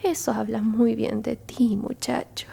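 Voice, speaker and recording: A woman's voice: fairly high pitch, little pitch movement, gravelly, mellow, slightly rough, full character voice. A woman playing a kindly old alien grandmother in a whispered ASMR roleplay, tenderly and unhurriedly comforting a lost human. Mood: gentle, reflective. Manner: tender, unhurried, reassuring, near-constant whisper.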